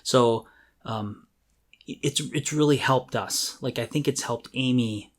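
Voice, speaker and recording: A man's voice: mid-range voice, a little slow. A man co-hosting a podcast in casual chat, speaking candidly with a jokey, self-deprecating manner. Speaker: podcast co-host.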